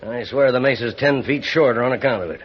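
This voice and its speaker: An actor playing a bitter, greedy older rancher with an American accent and a folksy, needling drawl in a radio western. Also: male voice, mid-range voice, fast, natural voice. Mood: sly, scornful. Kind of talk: old-time radio western acting. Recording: muffled, noisy old radio recording.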